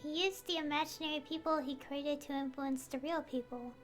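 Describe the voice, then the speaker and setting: A woman voicing a feisty, loyal small companion in a video game, quick to protest. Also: sits high, steady tone, faint music behind, natural voice. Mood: alarmed.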